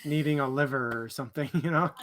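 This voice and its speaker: A man's voice: mid-range voice, fast, a bit bright. Man chatting casually, posing questions. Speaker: book discussion co-host. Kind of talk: casual chat on a livestream.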